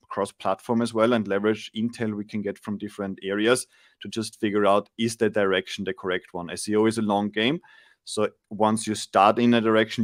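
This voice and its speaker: Austrian-born man, forties, guest; low voice, dry close sound, patient explainer who restarts sentences. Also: no room sound. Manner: thoughtful, explanatory, with frequent restarts. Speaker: guest, SEO agency founder. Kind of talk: casual interview chat. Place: home room, indoors. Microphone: large studio mic on arm.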